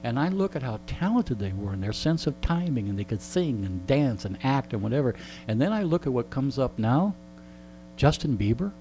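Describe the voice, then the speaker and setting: A man's voice: medium pitch, normal speed, faint music behind, low-quality recording. An older man in his sixties chatting casually as a guest, rambling along with dry, joking asides. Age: older, 60s. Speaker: interview guest, local radio host. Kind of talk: casual chat. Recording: steady electrical mains hum.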